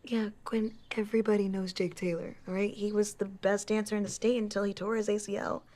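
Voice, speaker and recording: A woman's voice: medium pitch, level tone, fairly quick, dark tone, a little hiss or hum, lightly acted. An actress playing a casual, skeptical young woman in chatty screen dialogue. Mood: dismissive.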